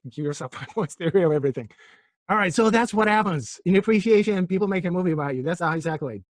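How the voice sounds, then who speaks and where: A man's voice: high voice, expressive, fast talker, no room sound. A middle-aged man from Turkey lecturing a physics class, joking and throwing rhetorical questions at his students.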